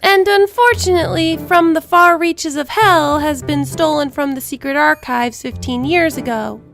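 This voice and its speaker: A woman plays an academy official in a cartoon parody, speaking in an extremely high voice. The delivery is wry and matter-of-fact. Music runs faintly behind. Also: moderate pace, gravelly, fairly bright tone. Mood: wry, informative. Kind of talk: comedic fan-dub voice acting.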